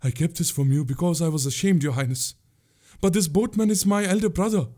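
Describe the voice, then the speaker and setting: A man's voice: fairly high pitch, brisk, mellow, voice pushed up, natural voice. A man voicing a fiery, distraught young woman in a historical tale, breathless and accusing. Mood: ashamed, furious.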